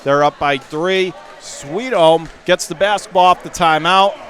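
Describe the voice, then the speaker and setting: A man calling live basketball play-by-play for radio in clipped, urgent phrases that rise into excited calls. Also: fairly high pitch, unhurried. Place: indoor high school basketball gym. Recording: game crowd and gym noise behind.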